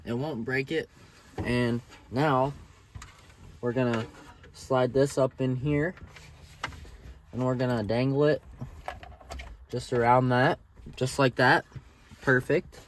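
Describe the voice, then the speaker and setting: A man's voice: medium pitch, steady tone, takes it slowly, long pauses. A man giving a casual, plain-spoken how-to walkthrough in an informal style. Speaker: DIY presenter. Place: in and around a pickup truck. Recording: clear background noise and handling clicks.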